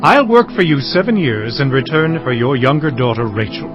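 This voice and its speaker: An actor playing an earnest, emphatic young traveller and suitor in a dramatized audio Bible. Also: male voice, mid-range voice, animated, moderate pace, highs cut off, music in the background, close to an everyday voice. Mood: ardent, insistent.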